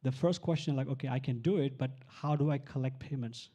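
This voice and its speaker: A man with a very dark, mellow voice presents his tech project at a live event. He explains step by step in plain terms, often pausing on fillers and restarting his sentences. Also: medium pitch, muffled recording. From Sri Lanka. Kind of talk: live conference talk.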